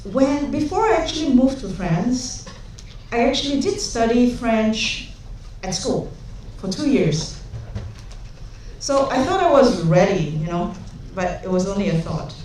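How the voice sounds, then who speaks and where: A woman's voice: mid-range voice, a little mellow, a little hiss or hum. A young woman from Singapore gives a humorous conference talk, telling chatty anecdotes with many fillers. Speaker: conference speaker. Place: large conference hall. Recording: echoey hall, speaker far from mic.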